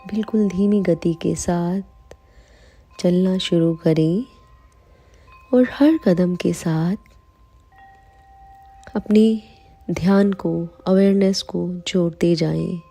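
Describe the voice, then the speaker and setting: A woman leading a guided meditation, calm and soothing, giving unhurried instructions with long pauses between phrases. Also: lowish voice, vocal fry. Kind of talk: slow guided meditation in Hindi.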